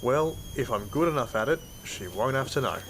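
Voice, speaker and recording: A man's voice: mid-range voice, lively intonation, a little fast, fairly bright tone, slight background noise, poor audio. An Australian man playing a proud, blunt field hunter who narrates an audio drama in a weary, colloquial way. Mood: blunt, stubborn.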